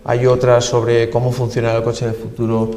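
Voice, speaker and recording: Man, Spain accent, conversational interviewee, explanatory and halting, little pitch movement, reverberant hall. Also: monotone, warm tone. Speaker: university research deputy director, interviewee.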